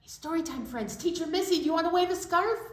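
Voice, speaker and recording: A woman's voice: very high-pitched, lively intonation, fast. An American woman hosting an online storytime, giving preschoolers encouraging instructions and asking them questions. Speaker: children's storytime host. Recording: distant mic in echoey room, faint noise.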